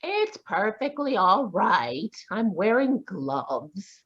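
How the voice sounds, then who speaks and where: A woman's voice: medium pitch, sing-song, takes it slowly, fairly bright tone, breathy edge, no room sound, full character voice. An actress playing a prim, indignant young woman suspect in a live radio drama. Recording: dull lo-fi audio.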